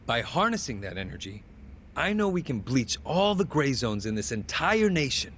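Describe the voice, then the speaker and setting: A zealous politician in a video game, making a polished public statement. His pitch moves in lively sweeps, with faint noise behind. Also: normal speed. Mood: confident zeal. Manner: polished, rhetorical. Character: polished, zealous male politician and party chair.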